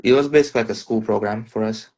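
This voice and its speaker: A man's voice: on the low side, rapid-fire, a little mellow. A man in his twenties from Uzbekistan, a native Russian speaker, chatting easygoing as a guest pianist over a phone live stream. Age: 20s. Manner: easygoing, self-deprecating, filler-heavy. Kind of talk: casual interview chat.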